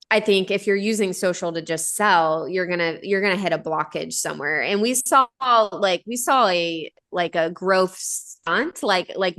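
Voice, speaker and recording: A woman's voice: fairly low pitch, pushed and bright. A woman in her thirties, a company founder answering interview questions in an earnest, conversational way, with many fillers and restarts. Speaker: podcast guest, company founder.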